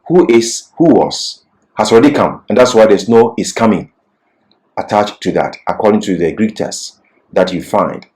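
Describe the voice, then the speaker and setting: A man presenting an expository Bible lesson as a teacher, speaking in emphatic, deliberate short phrases. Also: mid-range voice, darkish tone, poor audio.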